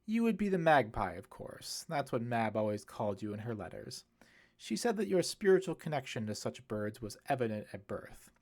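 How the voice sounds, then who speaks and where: A man voicing a kindly, musing priest in an audiobook, speaking in a reflective, explanatory way with gentle wit. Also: medium pitch. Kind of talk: audiobook character dialogue.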